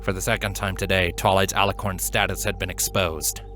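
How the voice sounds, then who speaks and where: A man narrating a scripted story in an expressive, dramatic manner. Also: low voice, brisk, faint music behind. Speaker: fan fiction reader, narrator.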